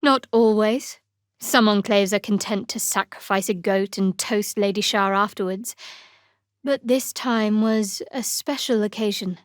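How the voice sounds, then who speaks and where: An actress playing a guarded, wry young female cleric in a fantasy video game, speaking in a cool, dry, measured way. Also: mid-range voice, steady tone, dry recording, natural voice. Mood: wry, composed. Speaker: video game companion character. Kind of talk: scripted fantasy game voice acting.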